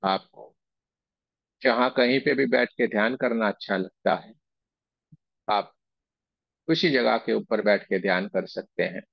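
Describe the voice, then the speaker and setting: A man leading a guided meditation, speaking in slow, soothing, repeated phrases with pauses between them. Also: lowish voice, gravelly, no echo, lo-fi sound. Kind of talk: guided meditation with chanted invocation and slow repetition.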